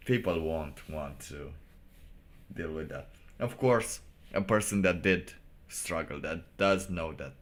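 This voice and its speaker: A man giving off-the-cuff commentary as a streamer, philosophizing in a halting, rambling and blunt way. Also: deep voice, fairly bright tone. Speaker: live streamer reacting to motivational videos.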